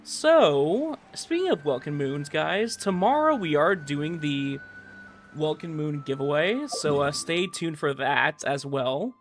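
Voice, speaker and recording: A man hosting a gaming livestream, talking casually and haltingly to his chat, often repeating words as he goes. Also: a little high, very animated, slight background noise. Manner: conversational, halting, repeats words while reading and answering chat. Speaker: livestream host, gamer. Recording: music playing behind voice. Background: game music.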